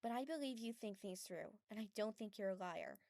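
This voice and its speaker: A woman playing a virtuous young woman, gentle but frank, in an earnest anime dub style. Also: mid-range voice, animated, fairly quick, no room sound, studio quality, close to an everyday voice. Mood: sincere.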